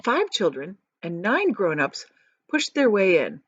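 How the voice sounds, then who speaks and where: Woman, storybook read-aloud, very animated intonation, teacherly explanations, emphatic descriptive words, dry slightly dull recording. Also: mid-range voice, a little slow, husky, no room sound. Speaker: teacher reading aloud to children. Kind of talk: expressive storybook read-aloud with casual asides.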